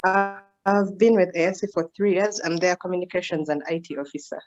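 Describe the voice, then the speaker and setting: A woman's voice: fairly low pitch, fairly quick, a little mellow, faint music behind. A woman in her twenties, a communications officer, politely introducing herself on a video call. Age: 20s. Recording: dull lo-fi call audio.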